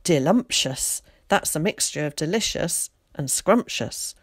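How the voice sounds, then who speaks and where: An excitable, cheeky schoolboy from a storybook, acted out by a woman reader. He is well-spoken and talkative, full of old-fashioned exclamations, in a raised, eager pitch. The recording is close and dry. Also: normal speed, dry recording.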